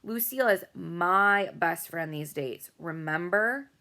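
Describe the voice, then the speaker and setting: A woman's voice: lowish voice, animated, slow, exaggerated voice. Woman doing a scheming, theatrical little boy. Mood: huffy. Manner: theatrical, conspiratorial, hushed at times.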